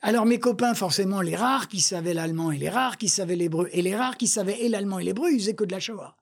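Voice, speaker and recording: A man's voice: high-pitched, fast, gravelly. A man. He speaks as an academic being interviewed, wandering between anecdotes. He repeats phrases for emphasis and plays himself down. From France. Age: 70s. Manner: digressive, self-deprecating, emphatic repetitions. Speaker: interview guest, academic researcher.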